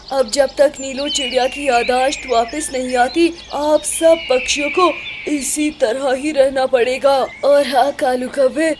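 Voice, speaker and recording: A woman's voice: sits high, darkish tone, slight background noise. A woman voicing a wise cartoon owl who explains and gives instructions to a group of birds. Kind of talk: Hindi cartoon voice acting.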